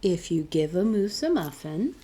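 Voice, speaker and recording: A woman's voice: on the low side, a little hiss or hum. Woman reading a picture book aloud. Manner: clear, deliberate picture-book reading with expressive emphasis. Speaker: children's librarian, storytime host. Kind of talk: read-aloud storytime narration.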